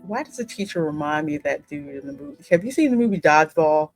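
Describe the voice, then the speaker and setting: A woman's voice: deep voice, lively intonation, quick pace, a little mellow. A woman hosting a gaming video, narrating story text and adding casual asides, with music behind her. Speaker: gaming channel host. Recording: music under the voice.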